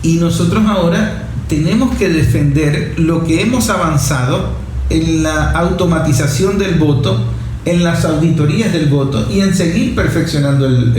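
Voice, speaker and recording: Echoey, higher-voiced Venezuelan man hosting. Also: a little mellow. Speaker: program host. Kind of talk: political talk and commentary. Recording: very echoey room, faint noise.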